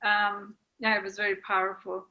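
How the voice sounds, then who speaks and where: A woman giving measured, appreciative feedback in a group discussion over a video call. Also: medium pitch, level tone, dull audio. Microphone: computer video call.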